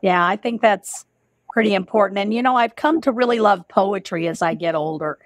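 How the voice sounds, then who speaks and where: A woman's voice: medium pitch, brisk, no room sound. A woman in her sixties hosting a casual interview over a video call from home, musing reflectively with many "you know"s. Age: 60s. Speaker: host. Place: home room. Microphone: video call webcam.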